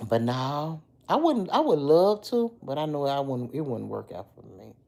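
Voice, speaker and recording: A middle-aged woman with a deep, slightly hoarse voice, chatting casually on a livestream and answering commenters. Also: big pitch swings, normal speed, warm tone. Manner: chatty, talks back to commenters by name. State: a little hoarse. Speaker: livestream host. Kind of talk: casual livestream chat with viewers.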